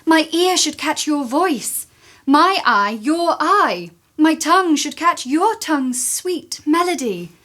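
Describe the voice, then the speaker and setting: A woman plays a lovelorn young woman in a Shakespearean radio play. The high voice draws out each line slowly, plaintive, envious and full of self-pity. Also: sing-song, a bit bright, heavily acted. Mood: envious, wounded. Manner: plaintive, theatrical verse delivery.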